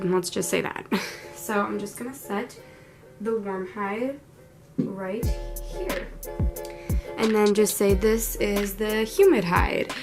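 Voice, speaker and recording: A young woman with a cold, sounding a little tired and under the weather, chatting casually through a pet care how-to with lots of likes and ums. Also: medium pitch. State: sick, a little hoarse and tired. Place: bedroom at home. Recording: background music throughout. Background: background music.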